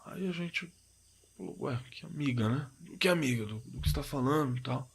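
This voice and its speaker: A male actor plays a shaken young Brazilian man reliving a scary night for a horror audio drama. His voice is mid-pitched and a little husky. He tells it in everyday speech, haltingly, doubling back on his words. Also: animated. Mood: uneasy. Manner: halting, repeating words, colloquial. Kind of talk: dramatized first-person horror story, told conversationally.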